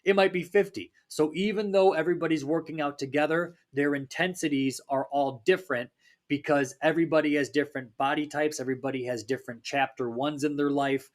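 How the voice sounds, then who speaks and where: A man hosting a fitness podcast, talking in a casual, upbeat pep-talk way, his voice a little raspy from a recent cold. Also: a little high, fairly even intonation, fairly quick. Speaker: fitness coach and podcast host.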